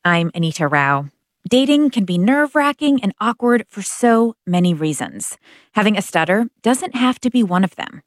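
A woman hosting a radio interview show, reading measured intros and asking questions. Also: mid-range voice, animated, no room sound, studio quality.

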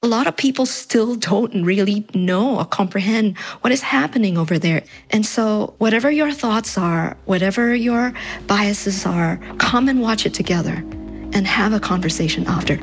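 A woman filmmaker with a husky voice, speaking earnestly and persuasively in an interview clip. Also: medium pitch, moderate pace, faint music behind.

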